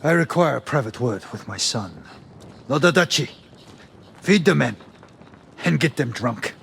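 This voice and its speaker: An actor playing a stern, forceful samurai lord and father in a video game, commanding with authority over background noise. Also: medium pitch, lively intonation, unhurried, vocal fry. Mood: commanding anger. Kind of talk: dramatic video game cutscene acting.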